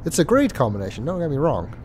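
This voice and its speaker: A man's voice: on the high side, wildly swooping pitch, fast, darkish tone, a little hiss or hum. A congested man with a stuffy nose giving casual, hesitant commentary as he plays through a video game. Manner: hesitant, with many ums and uhs. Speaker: let's play host.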